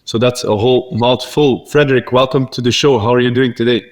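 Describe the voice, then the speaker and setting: A man's voice: mid-range voice, steady tone, brisk, a little mellow. A man hosting a podcast, reading a scripted intro and then asking casual interview questions in an upbeat, friendly way. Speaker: podcast host.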